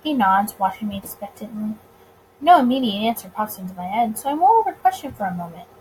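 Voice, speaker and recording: A woman reading a visual novel aloud in an expressive narrating style, occasionally tripping over words. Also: mid-range voice, fast talker, a little hiss or hum, rough recording.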